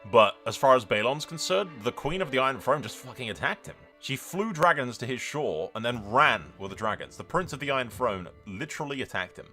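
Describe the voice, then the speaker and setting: A man giving excited, sweary video game commentary with a theatrical, roleplaying streak. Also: medium pitch, fairly quick, hardly any pauses, soft background music.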